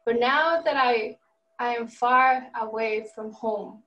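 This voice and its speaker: Young woman from mexico telling a personal story, a bit far from the mic, higher voice. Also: slow pace, fairly bright tone, lo-fi sound, slight background noise. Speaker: panelist. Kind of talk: panel discussion.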